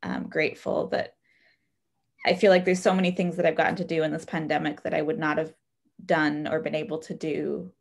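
A woman with an American accent and a slightly low voice, speaking on a video call from home. She shares in a gentle, reflective and expressive way, as in a conversational group check-in. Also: a little fast, creaky. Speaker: participant in an online women's group. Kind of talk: personal check-in sharing, conversational. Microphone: computer mic on video call.

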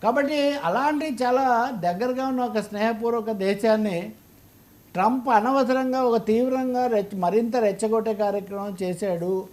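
A man with a very high-pitched voice and a Telugu accent delivers political commentary. He is emphatic and argumentative, often pressing his points with rhetorical questions. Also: normal speed, darkish tone.

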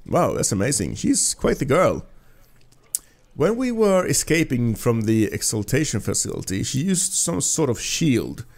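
Man, Swedish accent, native Swedish speaker, creaky voice, lively intonation, casual gaming commentary, hesitant rambling delivery. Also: mid-range voice, unhurried. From Sweden. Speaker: gameplay host. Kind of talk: casual let's play commentary.

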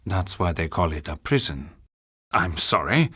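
Spy game villain: low voice with animated swings, condescending, threatening, falsely courteous. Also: male voice, measured pace, breathy edge, full character voice. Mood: cold sarcasm. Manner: mock-polite, condescending, threatening. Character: menacing, coolly sarcastic male villain in a spy game. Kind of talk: dramatic game cutscene acting. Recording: loud music under the dialogue.

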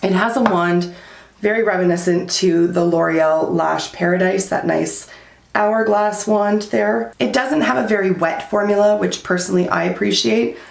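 A woman in her thirties giving a candid, chatty beauty product review at home, her voice going hoarse. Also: mid-range voice, moderate pace, reverberant. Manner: chatty and candid, many likes and fillers. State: losing her voice. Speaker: beauty vlogger. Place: small room at home, indoors.

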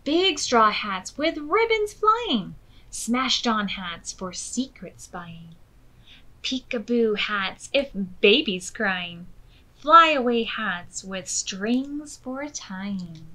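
An American woman in her thirties hosting storytime, reading aloud expressively and clearly to young children. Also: a little high, lively intonation, extremely slow, bright, forceful tone, low-quality recording. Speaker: children's storytime host at a public library. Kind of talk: read-aloud storytelling for preschoolers. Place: small furnished room indoors.

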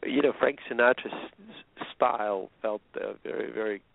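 Russian-born man, gravelly voice on a phone line. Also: medium pitch, normal speed, no echo. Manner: relaxed storytelling with frequent fillers. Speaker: musician guest. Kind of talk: phone-in interview.

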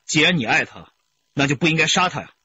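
Sardonic male detective, slightly high voice, probing, radio drama, dull sound. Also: expressive, fast, pushed and bright, husky, exaggerated voice. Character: wry, probing male police interrogator. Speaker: actor in police radio drama. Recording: muffled, faint background noise.

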